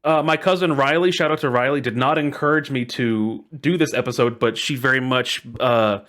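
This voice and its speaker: A man's voice: medium pitch, moderate pace, fairly bright tone. A man hosting a casual podcast chat in a playful, jokingly ceremonious way. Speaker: podcast host.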